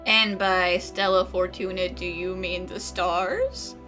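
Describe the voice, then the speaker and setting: A woman's voice: fairly low pitch, fairly even intonation, slow, bright, forceful tone, soft background music. A woman giving casual, chatty playthrough commentary on a game, reacting playfully. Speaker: gaming video creator.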